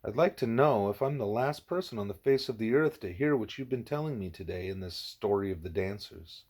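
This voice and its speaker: A man reads as an earnest young girl pupil in an audiobook. The voice stays fairly low and thoughtful as the pupil reasons and questions. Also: expressive, a little fast, a little mellow. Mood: earnest, reflective.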